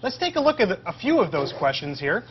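A man's voice: high voice, sing-song, fairly quick. Upbeat male host over background noise. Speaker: host, interviewer. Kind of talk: presenting an educational virtual tour. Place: semi-open metal farm shed. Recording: dull audio with clear background noise.

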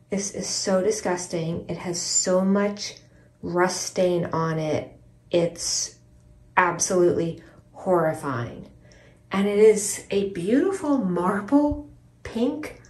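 Echoey, slow-paced woman vlogging. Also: medium pitch, darkish tone. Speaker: home cleaning vlogger. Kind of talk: casual vlog chat and narration while cleaning. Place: small tiled bathroom, indoors. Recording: roomy bathroom echo, distant mic.

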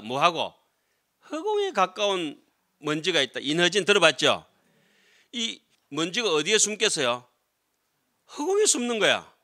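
A man's voice: on the high side, pushed and bright. A middle-aged man, a Buddhist monk giving a scripture lecture in a conversational way, often checking with listeners through short tag questions. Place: lecture room with seated audience, indoors. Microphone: desk gooseneck microphones.

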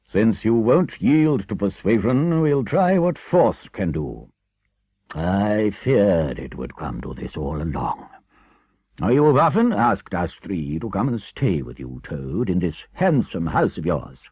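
A man's voice: fairly low pitch, very animated, husky, no echo, lo-fi sound, pitched above the performer's own voice, heavily acted. A man voicing a stern, righteous older badger who speaks with solemn, commanding oratory in a storybook reading. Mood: firm. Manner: solemn, slow, emphatic oratory.

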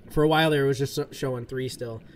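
A man's voice: medium pitch, fairly even intonation, fast. Laid-back man chatting on a game stream. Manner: laid-back, rambling, many fillers. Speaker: livestream gamer. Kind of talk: casual livestream chat while playing. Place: home gaming room.

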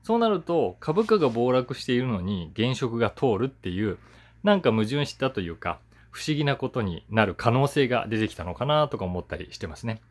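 Male, 40s, casual solo commentary, conversational and musing, very lively intonation. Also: mid-range voice, brisk. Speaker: voice actor hosting his own talk channel. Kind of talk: casual chatty commentary to camera.

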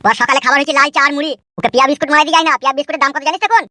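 A man's voice: very high-pitched, fairly even intonation, rapid-fire, background noise. A man voicing a grumpy, blunt village husband who bickers with his wife in a cartoon comedy. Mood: annoyed.

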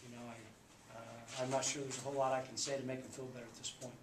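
A man in his forties, a basketball coach, speaking at a postgame press conference in a subdued, halting way with many fillers. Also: medium pitch, flat delivery. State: subdued after a loss. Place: small press room indoors. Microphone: table mic. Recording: echoey room, faint background hum.

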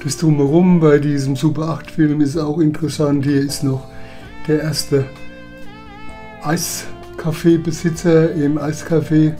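A man's voice: on the high side, level tone, measured pace, mellow. A man narrating old home movies in a chatty, reminiscing voice-over. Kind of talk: casual voice-over commentary. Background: background music.

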